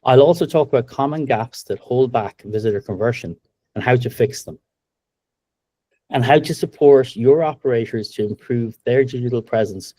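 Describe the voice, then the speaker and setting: A middle-aged Irish man giving a business webinar presentation over a video call, upbeat and steady, with frequent ums. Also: medium pitch, no room sound. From Ireland. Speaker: webinar presenter, company co-founder.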